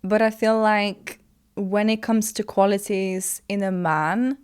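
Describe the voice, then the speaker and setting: A woman's voice: medium pitch, fairly even intonation, slow, darkish tone, clear, resonant tone. A young woman from Poland, a guest in a casual interview, chatting in a rambling way with many fillers.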